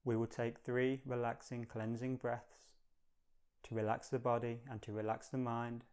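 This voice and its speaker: A man guides a meditation in a smooth, resonant voice of medium pitch. His intonation stays very even, with little rise or fall. He gives gentle instructions in a calm, measured, soothing way.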